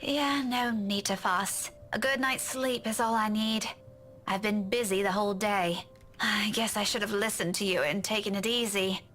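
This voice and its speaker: A woman's voice: medium pitch, fairly even intonation, moderate pace, fairly bright tone, slight background noise, lightly acted. A woman voicing a weary, prickly young woman in a visual novel game, curt and restrained. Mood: weary, placating.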